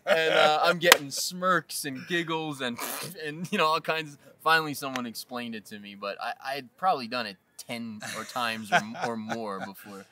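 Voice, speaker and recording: A man in his thirties co-hosting a casual outdoor chat, telling stories in an animated, laughing way. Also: mid-range voice, moderate pace, few pauses, noisy background. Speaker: co-host, real estate agent. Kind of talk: casual storytelling. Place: outdoors on a hillside terrace.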